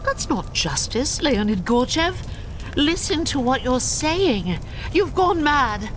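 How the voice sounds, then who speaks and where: An actress playing a proud elf warrior in a video game, speaking with measured, lofty earnestness. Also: female voice, high voice, sing-song, full character voice. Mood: indignant. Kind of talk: video game cutscene acting. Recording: music behind.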